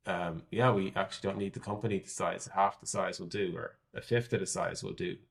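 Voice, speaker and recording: A man's voice: low-pitched, steady tone, fairly quick, creaky. A man with an Irish accent giving conversational interview answers as a tech executive, candid and thinking aloud as he hedges his predictions. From Ireland. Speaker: tech executive, podcast guest.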